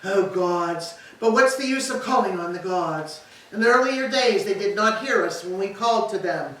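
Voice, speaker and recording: A woman's voice: mid-range voice, animated, moderate pace, fairly bright tone, close to an everyday voice. A woman playing a grief-stricken aged queen in a staged Greek tragedy, lamenting in declamatory style. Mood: desperate. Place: church, live staged reading. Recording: echoey hall, distant mic, background noise.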